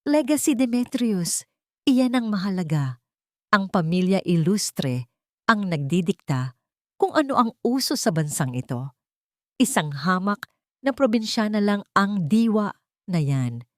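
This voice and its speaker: A woman with a Filipino accent voicing a scheming, imperious rich elderly matriarch with a sharp, authoritative manner in an audio drama. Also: mid-range voice, big pitch swings, unhurried, long pauses, no room sound, clean studio sound. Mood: cold, ruthless.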